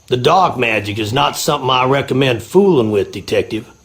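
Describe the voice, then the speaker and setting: A rustic groundskeeper from a mystery game, with a gravelly, creaky voice at middling pitch. He has a Southern American drawl and a guarded, wary way of talking. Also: expressive, fairly quick, a bit bright. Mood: guarded, uneasy. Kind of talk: video game character acting.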